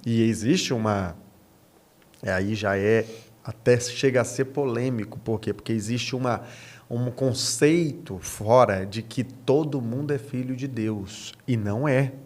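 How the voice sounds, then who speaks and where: A man's voice: medium pitch, unhurried, a little mellow. A man with a Brazilian accent speaking as a pastor on a podcast, teaching conversationally, posing questions and answering them himself, repeating key phrases.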